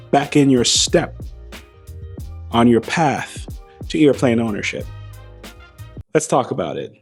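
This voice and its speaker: Host, male, slightly hoarse voice, wry and playful delivery, mid pitch, small clip-on mic in a hangar, faint music under him. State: losing his voice, slightly hoarse. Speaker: aviation channel host. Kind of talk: casual monologue to camera. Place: beside a plane in a hangar. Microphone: small wireless mic.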